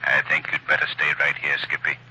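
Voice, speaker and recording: An actor playing a calm, commanding male crime-fighter in a 1940s radio drama, with a smooth, assured, softly ominous manner. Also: extremely low pitch, fairly quick, slight background noise, full character voice, low-quality recording. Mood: menacing.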